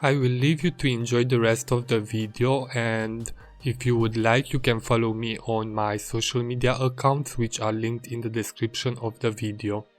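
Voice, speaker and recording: A man, an illustrator reading scripted voice-over narration in a plain, measured way. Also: medium pitch, level tone. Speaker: illustrator and video creator.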